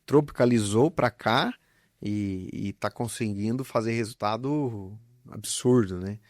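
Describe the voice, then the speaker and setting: A man's voice: lowish voice, measured pace, a little mellow, no room sound, studio quality. A man, a business founder, talking earnestly and reflectively as an interview guest, often restarting his phrases. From southern Brazil. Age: adult with young children. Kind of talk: casual interview conversation.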